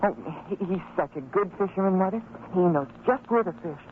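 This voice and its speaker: A man's voice: sits high, animated, a little fast, phone-quality sound. A young-sounding male actor playing an earnest, dutiful boy, hesitant and deferential, in an old radio drama. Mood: anxious, earnest. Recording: thin, band-limited old radio recording, faint noise.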